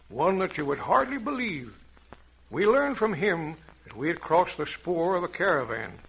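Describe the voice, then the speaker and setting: Bright, forceful vintage radio adventure captain. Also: male voice, fairly high pitch, vocal fry, husky, exaggerated voice. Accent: American. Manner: dramatic, commanding, theatrical. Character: bold commanding safari captain, storyteller to children. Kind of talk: old-time radio adventure serial acting. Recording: dull, noisy vintage radio recording.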